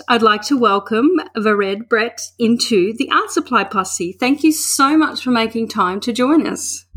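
A woman's voice: medium pitch, fairly bright tone. A woman from Australia, hosting an interview podcast in a chatty, rambling way, often restarting her questions. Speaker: podcast host. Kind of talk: casual interview chat.

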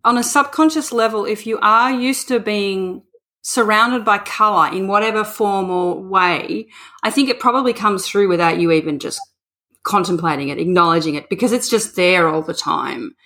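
A woman's voice: mid-range voice, moderate pace, sharp tone. A woman from Australia, interviewing a guest in a chatty, rambling way. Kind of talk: casual interview.

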